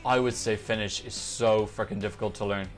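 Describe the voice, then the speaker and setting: A man's voice: fairly low pitch, fairly even intonation, brisk. A man giving casual, off-the-cuff reaction commentary in a chatty, opinionated way, thinking aloud as he goes. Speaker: host of a music reaction show. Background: songs from music videos being reviewed.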